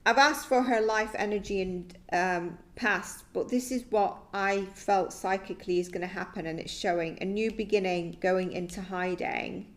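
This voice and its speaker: A woman's voice: mid-range voice, normal speed, few pauses. Woman musing through a tarot reading. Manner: musing and tentative, often trailing off. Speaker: psychic tarot reader. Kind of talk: thinking-aloud tarot reading to camera.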